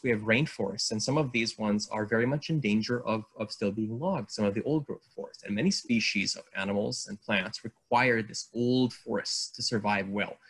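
A middle-aged man from Hungary, speaking on a video call as a guest artist, enthusiastic and halting with many fillers and restarts. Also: fairly low pitch, a bit bright, slightly rough. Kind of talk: casual video call interview. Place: home room.

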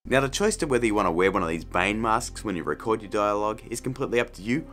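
A man's voice: on the low side, lively intonation, fast, a bit bright. A middle-aged man presenting a casual scripted tutorial, chatty and jokey. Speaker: video host.

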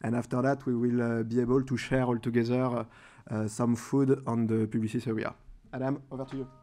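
A man in his thirties speaking English with a French accent welcomes the audience at a corporate event. He reads out the agenda at a podium microphone, pausing often with "uh" fillers, in a large conference room. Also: mid-range voice, little pitch movement, warm tone. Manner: hesitant, frequent uh fillers. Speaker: managing director introducing a corporate presentation. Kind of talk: formal event welcome speech.

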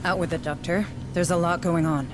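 An actress plays a corporate administrator in a sci-fi game, with a fairly low female voice. She is firm, guarded and businesslike, speaking in clipped phrases over clear background music. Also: fairly even intonation, fairly quick, close to an everyday voice. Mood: stern, serious. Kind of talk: dramatic video game cutscene acting.

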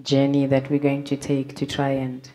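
A young woman hosting a live event, speaking in a relaxed, hesitant way into a handheld mic in a gallery room. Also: extremely low pitch, normal speed. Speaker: host of the event.

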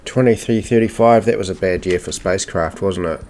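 A man's voice: low voice, a little fast, darkish tone, slight background noise. A man giving casual playthrough commentary on a video game, thinking aloud as he goes. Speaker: gaming video creator.

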